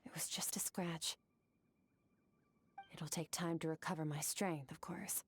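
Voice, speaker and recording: A woman's voice: low-pitched, fairly even intonation, measured pace, husky, no room sound. A woman playing a stoic, aloof young warrior in a video game, speaking in a composed, blunt, matter-of-fact way. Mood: cool, composed. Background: background music.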